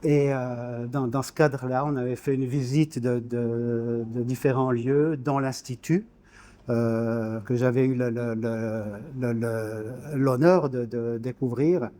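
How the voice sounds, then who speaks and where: A man in his sixties from Switzerland, talking as a guest in an informal interview. His voice is mellow and medium-pitched, and he hesitates, repeating little words while he looks for the next one. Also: steady tone, slow pace. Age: 60s. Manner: hesitant, word-searching, repeats small words. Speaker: guest, painter.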